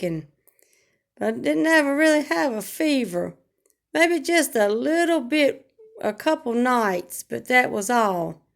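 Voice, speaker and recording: A hoarse woman, chatting slowly and plainly about her week at home into a phone camera. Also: high voice. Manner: slow, homespun, rambling talk with regional grammar. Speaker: vlogger. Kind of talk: casual home vlog chat.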